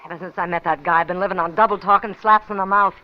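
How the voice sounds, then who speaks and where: A woman's voice: lowish voice, steady tone, very fast, natural voice. Brassy gangster's moll played by a woman, muffled sound. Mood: bitter, tough. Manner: sassy, slangy street-tough talk. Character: brassy, hard-bitten young gangster's moll, wary and defiant. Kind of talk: old-time radio crime drama acting. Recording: muffled, lightly noisy old radio recording.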